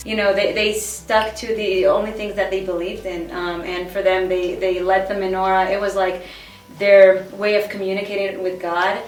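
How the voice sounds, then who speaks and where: A woman in her thirties, from Israel, talking in a casual interview, halting, with many fillers and restarts. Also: mid-range voice, little pitch movement. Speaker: guest. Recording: echoey room, far from the mic.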